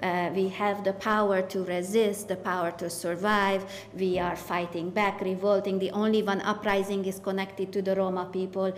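A young woman moderating a panel discussion, asking questions with many "uh" fillers and a voice that trails off quietly. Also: medium pitch, flat delivery, normal speed, hardly any pauses. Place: art gallery room. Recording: reverberant hall, faint noise.

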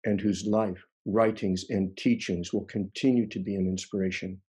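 Male, 60s, solemn tribute speaker; husky, dark tone, measured phrasing over a video call. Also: lowish voice, fairly even intonation. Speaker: rabbi giving a tribute. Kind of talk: scripted eulogy, read aloud. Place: indoor room at home, video call.

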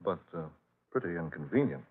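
An actor playing a curious, hearty visiting gentleman in an old-time radio drama, with a genteel, exclamatory manner. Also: low voice, big pitch swings, a little fast, slightly rough, full character voice. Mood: genial, curious. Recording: muffled narrowband old radio recording.

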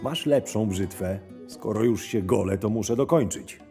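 A man playing a cynical, scheming camp henchman in an audio drama, narrating his days in a dry, measured, sardonic way. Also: lowish voice, mellow, natural voice. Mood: businesslike, deferential. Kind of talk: first-person scripted narration. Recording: music under the voice. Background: background music.

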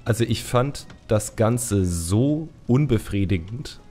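A man's voice: lowish voice, expressive, slow pace, mellow, faint music behind. A man hosting a casual gaming podcast, opinionated and colloquial, repeating words as he goes. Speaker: podcast host.

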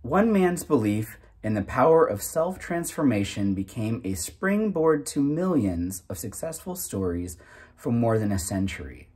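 Husky, lively man reading aloud slowly. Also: mid-range voice. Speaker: narrator reading a book aloud. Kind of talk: reading aloud from a self-help booklet.

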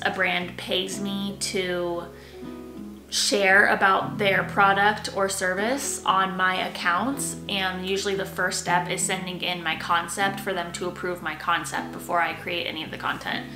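A woman in her twenties with an American accent, chatting casually to camera in a rambling, informal way as she vlogs. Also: on the low side. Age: 20s. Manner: rambling, informal, self-interrupting. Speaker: lifestyle vlogger. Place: rooms in a home, indoors. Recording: echoey bare room, music underneath. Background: background music.

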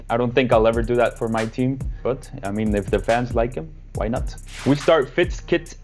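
A young man co-hosting a casual sports chat over a video call, wry and opinionated. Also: on the low side. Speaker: co-host. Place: home room, video call.

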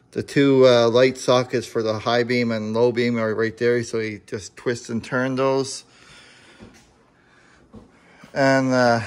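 Halting man giving a casual how-to. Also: medium pitch. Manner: halting, hesitant, plain-spoken. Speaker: amateur DIY car repair video maker. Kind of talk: casual unscripted how-to explanation. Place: indoors in a home garage.

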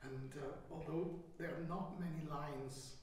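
Male interviewer, higher-pitched voice, very echoey, off-mic. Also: fairly even intonation, measured pace, darkish tone. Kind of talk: film interview questions. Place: indoor room, away from the mic. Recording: echoey, distant, noisy off-mic pickup.

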